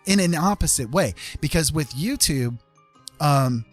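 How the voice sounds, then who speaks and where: A man's voice: fairly high pitch, lively intonation, a little slow, faint music behind. A man livestreaming in a casual, rambling way, with a jokey, self-deprecating, colloquial manner. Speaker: live streamer and digital artist.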